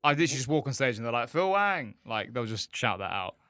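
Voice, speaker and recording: A man with a bright, forward voice tells anecdotes as a comedian guest. His delivery is informal and bemused, recorded close and dry with no room sound. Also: mid-range voice, big pitch swings, fast talker, no echo. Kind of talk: casual anecdotal chat.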